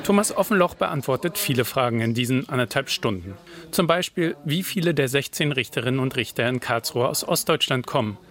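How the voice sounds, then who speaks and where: A man's voice: medium pitch, expressive, fairly quick, a bit bright. A man narrating a scripted radio news feature as a reporter.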